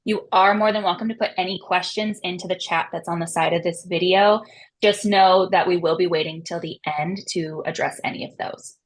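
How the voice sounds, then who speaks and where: A woman's voice: on the low side, fairly even intonation, a little fast. A woman hosts a webinar. She is friendly and upbeat and runs through the housekeeping notes in an organized way before handing over to the speaker. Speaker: webinar moderator. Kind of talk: webinar hosting.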